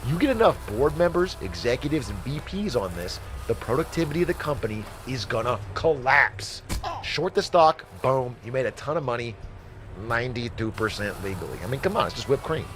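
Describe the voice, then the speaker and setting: A man's voice: mid-range voice, big pitch swings, brisk, music in the background, natural voice. An actor playing a shady, slick finance guru in a video game, with a glib, showy salesman's delivery. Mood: conspiratorial, gleeful. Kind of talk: game voice acting, hosted advice show patter.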